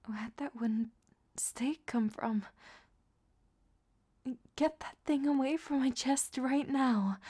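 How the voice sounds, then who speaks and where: A woman playing a flirtatious, cunning ancient vampire in a roleplay audio drama, teasing and sardonic in a soft, breathy half-whisper. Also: a little high. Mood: indignant.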